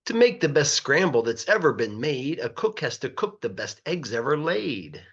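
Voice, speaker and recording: A man reading a children's rhyming story aloud in a playful, rhythmic, expressive way, like a teacher. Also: medium pitch, normal speed, husky, lo-fi sound. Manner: animated, playful, rhythmic verse delivery.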